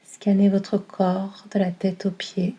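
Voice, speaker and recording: A woman guiding a yoga nidra meditation in a calm, soothing manner, with a soft, breathy delivery. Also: medium pitch, takes it slowly, highs cut off. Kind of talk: guided meditation.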